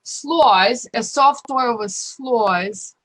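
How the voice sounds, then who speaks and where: A woman's voice: on the high side, extremely slow, fairly bright tone, breathy edge, no echo. Ukrainian accent lady guest, rambly and heartfelt, dry close sound. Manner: earnest, heartfelt, rambling with restarts. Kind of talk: casual interview talk, personal advice.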